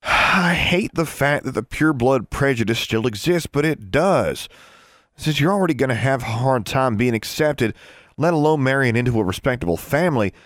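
A prim older brother from an audiobook, voiced by a man, earnest and protective. He speaks in a solemn, measured way that turns pleading, recorded close and dry. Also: fairly low pitch, lively intonation, no room sound, voice pushed up. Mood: earnest disapproval. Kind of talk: audiobook character voice.

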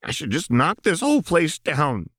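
Guy doing a manic wounded young gunslinger girl, pitched way up from his own voice, erratic and snappy, audiobook. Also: wildly swooping pitch, moderate pace, a bit bright, no echo, clean studio sound, exaggerated voice. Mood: bitter, destructive. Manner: erratic, spitting, snarling. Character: volatile, wounded young woman, manic gunslinger with metal arm.

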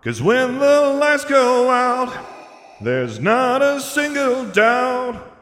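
A fiery, defiant young male singer, played by a man rallies listeners with declamatory chanting. The voice is very high and bright, ringing with long hall echo over music, in an audiobook performance. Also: big pitch swings, extremely slow, exaggerated voice. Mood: defiant singing. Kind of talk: performed song and shouted rallying in an audiobook. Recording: echoey hall reverb with music behind.

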